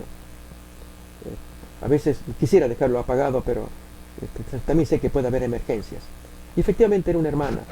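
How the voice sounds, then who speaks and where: A man with an Argentine accent, a pastor preaching a sermon and telling stories, with many pauses and filler sounds. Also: fairly high pitch, vocal fry, mellow, a little hiss or hum, low-quality recording. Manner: many filler pauses, acts out quoted voices. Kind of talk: sermon with spoken anecdotes.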